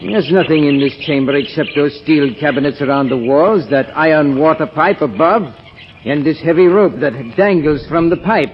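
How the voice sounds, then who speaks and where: A man's voice: on the high side, moderate pace, a little hiss or hum, natural voice. Man acting a gloating mad scientist, muffled old radio. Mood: menacing, mock-courteous. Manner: theatrical, gloating, mock-courteous. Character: gloating male mad scientist villain, proud of his monstrous creations. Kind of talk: old-time radio horror drama acting.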